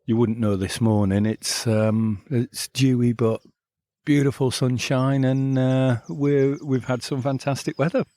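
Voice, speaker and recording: A man's voice: medium pitch, steady tone, unhurried, mellow, dry recording. A man co-hosting a podcast, chatting easily at a campsite in an upbeat way, praising gear and the site. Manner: easygoing, upbeat, recommends gear and sites. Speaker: podcast co-host. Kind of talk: casual chat outdoors at a campsite.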